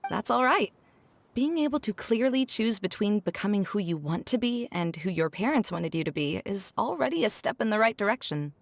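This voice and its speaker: A woman playing a frank, encouraging young scholar in a video game, articulate, reflective and gently wry. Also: medium pitch, lively intonation, normal speed, creaky. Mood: reassuring. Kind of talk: video game cutscene voice acting.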